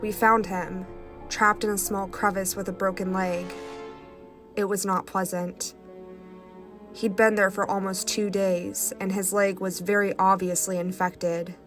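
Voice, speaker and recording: A woman hosting a channel and reading first-person stories aloud with a congested, stuffy nose in a calm, measured way. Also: medium pitch, soft background music. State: stuffy nose from sinus infection. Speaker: host.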